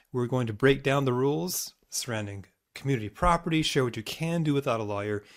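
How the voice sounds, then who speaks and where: A man hosts a legal podcast in a friendly, easygoing manner. He reads a short scripted opening, then asks questions in a casual, conversational way. His voice sits at an ordinary middle pitch. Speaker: podcast host. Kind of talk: podcast interview.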